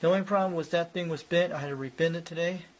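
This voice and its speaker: A man's voice: fairly high pitch, fast talker. A man presenting a casual, unscripted hobby show-and-tell in a rambling, repetitive way. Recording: noticeable background noise.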